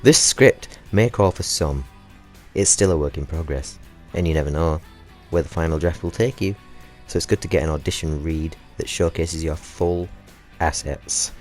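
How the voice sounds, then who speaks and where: A British man reads a sleazy Hollywood casting director from a comic story, low in pitch. He is leering and dismissive, with a bored air of power. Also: normal speed, a little mellow, soft background music. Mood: smug, sleazy.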